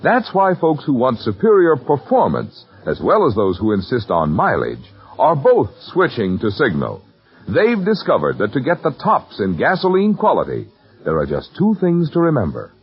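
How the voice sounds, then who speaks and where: A man's voice: mid-range voice, slightly rough. A man working as a radio announcer, reading a sponsor message in a polished, emphatic 1940s advertising style. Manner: polished, emphatic salesman delivery. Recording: muffled vintage radio broadcast, faint music.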